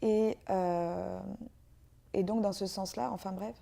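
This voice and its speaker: A woman from France answers interview questions in a soft, mellow voice, pausing often as she thinks aloud. Her pitch sits in the middle for a woman. She speaks as a guest author and poet in a relaxed, unscripted conversation. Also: gravelly. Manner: hesitant, frequent filler pauses.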